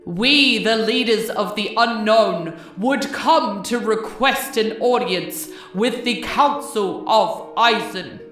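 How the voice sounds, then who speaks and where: A woman voicing a dark elf-like leader who makes a formal, measured address. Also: medium pitch, reverberant, far from the mic, faint music behind.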